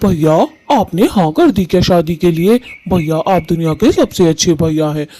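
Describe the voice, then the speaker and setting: A man plays a devoted male bird in a family cartoon. His voice is mellow and soft-edged, pitched a little high for a man, with an earnest, affectionate delivery. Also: lively intonation, normal speed. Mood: warm, excited. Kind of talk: Hindi family cartoon story acting.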